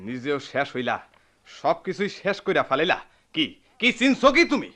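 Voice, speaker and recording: An actor playing a stern, reproachful village brother-in-law in a Bengali radio drama, admonishing emphatically with a rural Bangladeshi accent. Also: male voice, on the high side, sing-song, moderate pace, sharp tone, heavily acted.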